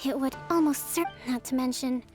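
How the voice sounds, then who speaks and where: A woman's voice: sits high, fairly quick, close to an everyday voice. A woman playing a composed young priestess leader in a video game, reassuring, with formal, tactical phrasing. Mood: calm, businesslike. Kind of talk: English video game voice acting. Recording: music behind the voice.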